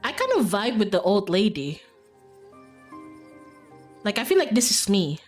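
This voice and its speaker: A woman live-streams a game in an informal, rambling way, giggling easily. Her pitch sits in the middle for a woman, and music plays clearly behind her. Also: big pitch swings, unhurried. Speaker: streamer playing a visual novel.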